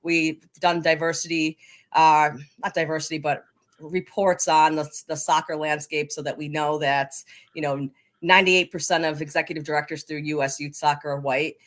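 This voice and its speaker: A woman's voice: low voice, little pitch movement, normal speed. A woman with an American accent talking casually as an interview guest. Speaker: podcast guest, coaching organization leader.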